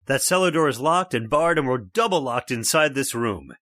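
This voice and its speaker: A man's voice: medium pitch, animated, normal speed, fairly bright tone, dry recording, natural voice. An actor playing a stubborn, skeptical middle-aged father in a radio horror drama, scornful and dismissive. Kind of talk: old-time radio drama acting.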